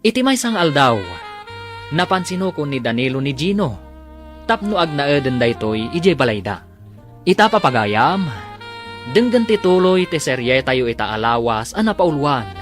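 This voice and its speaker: Animated male radio drama narrator. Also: a little high, moderate pace, soft background music.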